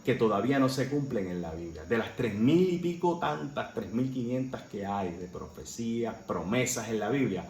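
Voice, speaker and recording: A man's voice: medium pitch, expressive, moderate pace, few pauses, darkish tone. Earnest man teaching Bible class. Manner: earnest, with frequent "¿verdad?" and "eh" fillers. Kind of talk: Sunday school Bible lesson. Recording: roomy echo, faint background noise.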